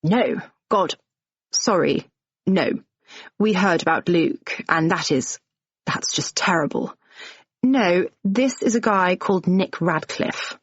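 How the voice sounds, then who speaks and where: A narrator puts on a bold middle-aged woman who barrels ahead with eager, rapid-fire talk. Her voice lifts higher than the narration. The sound is dry and a little dull. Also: breathy edge, no room sound, exaggerated voice. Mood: flustered.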